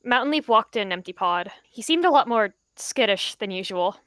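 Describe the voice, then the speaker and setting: A woman's voice: mid-range voice, lively intonation, moderate pace, a bit bright, no room sound. A woman narrating her character's part in an improvised roleplay story.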